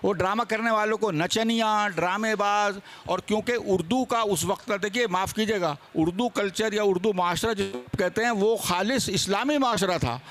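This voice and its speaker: A man's voice: sits high, normal speed, hardly any pauses, a bit bright, a little hiss or hum. A man speaking as a seminar panelist, arguing his opinions emphatically. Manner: emphatic, argumentative. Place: book fair seminar with a live panel.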